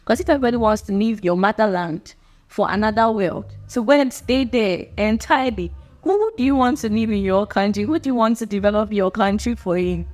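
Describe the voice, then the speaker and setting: A young Ghanaian woman with a Ghanaian accent, hosting her own channel and talking earnestly and unscripted straight to camera, often repeating herself and laughing between points. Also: mid-range voice. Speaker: channel host.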